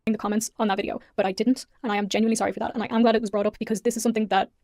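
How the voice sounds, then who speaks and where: A woman's voice: mid-range voice, little pitch movement, a little mellow, no echo. An Irish-accented young woman talks in an earnest, apologetic way. She rattles off her words at great speed. Her voice sounds dry and close, with no room around it. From Ireland. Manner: earnest, apologetic, rapid talk. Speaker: YouTuber being reacted to. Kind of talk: apology video.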